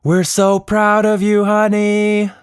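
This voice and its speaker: A man's voice: high-pitched, monotone, slow, smooth, dry recording, clean studio sound, faint music behind, pitched above the performer's own voice. A man voicing a proud, encouraging mother who calls out to her child in a children's story. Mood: proud, cheerful. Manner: calling out brightly.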